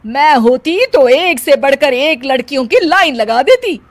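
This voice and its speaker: Woman acting a shrill, nagging ghostly mother-in-law. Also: lively intonation, measured pace, a little hiss or hum. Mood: scolding, bossy. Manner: scolding, bossy, taunting. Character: hot-tempered, nagging mother-in-law's ghost in a portrait. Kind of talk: Hindi cartoon story character acting.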